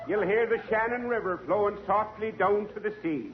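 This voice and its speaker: An actor playing a boastful tenor colonel in a 1940s radio comedy, florid and theatrical. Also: male voice, high-pitched, moderate pace, lightly acted. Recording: noisy, muffled 1940s radio broadcast.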